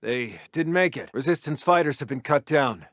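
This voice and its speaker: A man playing a military intelligence officer in a video game, briefing his commander in a crisp, formal, scripted delivery. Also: medium pitch, animated, normal speed, fairly bright tone, no room sound, clean studio sound, natural voice. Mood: grave.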